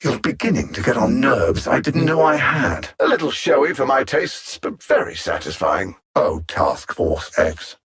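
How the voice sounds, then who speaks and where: A man playing an arrogant alien android conqueror in a video game, speaking with deliberate, theatrical condescension and cold intellect in a husky voice. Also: mid-range voice, big pitch swings, fairly bright tone, exaggerated voice. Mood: haughty disdain. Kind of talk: video game villain voice lines.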